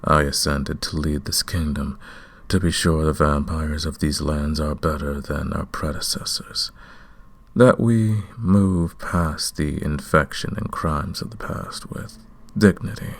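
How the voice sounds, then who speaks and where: Vampire king, acted by a man: extremely low, unhurried, courtly and commanding, smitten, for romantic ASMR roleplay. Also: fairly even intonation, gravelly, exaggerated voice. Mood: solemn, regal. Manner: formal, courtly, deliberate.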